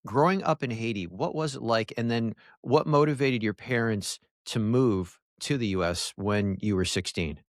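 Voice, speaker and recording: A man's voice: mid-range voice, normal speed, hardly any pauses, no room sound, studio quality. A man hosting a podcast interview, asking friendly, guiding questions. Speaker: podcast host.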